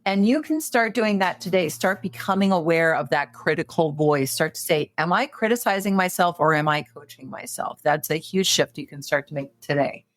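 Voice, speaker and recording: A woman's voice: low-pitched, moderate pace, hardly any pauses. A woman in her late fifties, a podcast guest giving coaching advice in a conversational way, full of fillers and restarts. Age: 50s. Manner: animated, many fillers and restarts.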